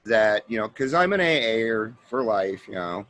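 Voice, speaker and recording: A man's voice: on the low side, lively intonation, moderate pace, a bit bright. A man hosting a recovery talk show, speaking in a heartfelt, conversational way. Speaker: podcast host. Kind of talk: casual interview chat.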